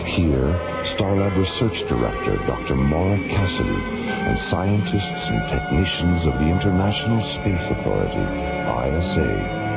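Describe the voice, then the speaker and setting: Dude narrating a sci-fi radio show, grand delivery, big swoops in pitch, muffled sound over loud music. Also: male voice, gravelly. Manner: grand, deliberate. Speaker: announcer and narrator. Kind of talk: dramatic scripted radio narration.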